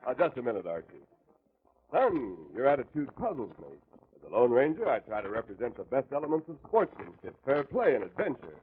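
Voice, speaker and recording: A man's voice: medium pitch, sing-song, fairly quick, close to an everyday voice. A man playing an earnest, upright western hero in 1940s radio style, stately, sincere and fatherly. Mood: earnest, dignified. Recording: tinny, narrow 1940s radio recording.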